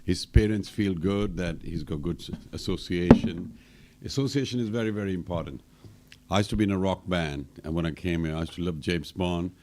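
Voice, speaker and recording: A man's voice: deep voice, a little fast, noisy background. A man in his seventies from Kenya, giving a rambling, anecdotal talk to students, with many restarts and fillers. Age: 70s. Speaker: temple speaker.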